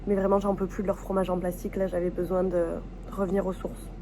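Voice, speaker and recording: A woman's voice: on the low side, flat delivery, quick pace, background noise. A woman from southwest France talking candidly and informally in a casual personal vlog. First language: French. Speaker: vlogger.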